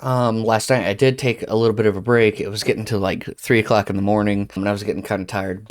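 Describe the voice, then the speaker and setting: A man hosting a casual software tutorial, informally thinking aloud as he talks himself through each step. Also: on the low side, vocal fry. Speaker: tutorial host.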